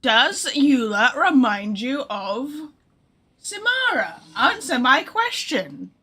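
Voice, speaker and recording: A woman's voice: high-pitched, big pitch swings, slow, pushed and bright, husky. A woman livestreamer chatting casually and reacting to a game in an informal, teasing way. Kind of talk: casual stream chat, reacting to game dialogue.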